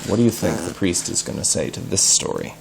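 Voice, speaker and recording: A man reading a story aloud in an animated style, pausing to explain words and ask questions. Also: sits low, vocal fry, background noise.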